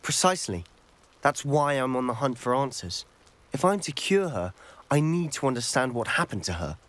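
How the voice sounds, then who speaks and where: A man's voice: medium pitch, sing-song, normal speed, a bit bright. A young man with a British accent playing an earnest, determined teenage schoolboy wizard in a video game, telling things intently. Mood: troubled, determined. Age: young adult. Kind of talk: video game character dialogue.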